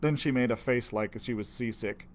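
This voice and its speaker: A man's voice: mid-range voice, moderate pace, a little hiss or hum. A man reading a children's adventure story aloud as a storyteller. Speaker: library storyteller.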